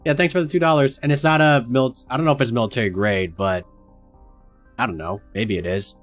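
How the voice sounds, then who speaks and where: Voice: medium pitch, fairly even intonation, a little fast, faint music behind. A host running a casual online commentary session, making wry remarks. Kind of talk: casual group chat.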